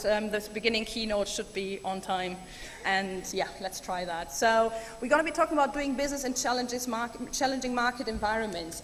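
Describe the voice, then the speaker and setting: A woman from Germany giving a chatty, enthusiastic keynote speech with many ums. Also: a little high. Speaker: keynote speaker. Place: large conference hall. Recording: hall reverb, faint room noise.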